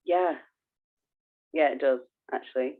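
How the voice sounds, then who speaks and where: A woman's voice: rumbling low, a little slow. Phone-like woman, casual podcast co-host. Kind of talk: casual conversational podcast chat. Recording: thin, narrow phone-like line.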